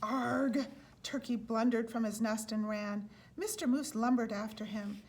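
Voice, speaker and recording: Woman storyteller, fairly high voice. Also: steady tone, moderate pace, a little mellow. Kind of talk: children's picture book read-aloud.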